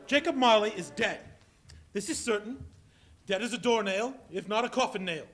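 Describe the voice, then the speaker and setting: An actor playing a bitter old miser in a live stage reading, speaking in a husky, clipped, scornful way in a large hall. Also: male voice, high voice, normal speed, fairly bright tone, faint music behind. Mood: cold.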